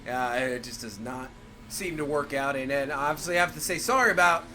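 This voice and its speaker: A man's voice: mid-range voice, fairly quick, pushed and bright, a little hiss or hum. A man with a cold, rambling through casual gameplay commentary and leaning on fillers like "obviously" and "for sure". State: has a cold. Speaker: gaming channel host.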